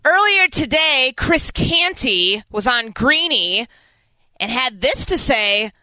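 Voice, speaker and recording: A woman's voice: high voice, sing-song, takes it slowly, pushed and bright, dry recording, poor audio. A woman hosting a sports radio show, delivering opinions and promos with emphatic energy into a close studio mic. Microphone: close studio broadcast microphone.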